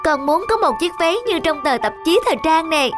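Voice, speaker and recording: A woman voicing a wistful little schoolgirl in an animated moral tale, plaintive and childlike, with polite words for elders. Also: very high-pitched, quick pace. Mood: pleading. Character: little schoolgirl, wistful, longing for a new dress. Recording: background music under the voice.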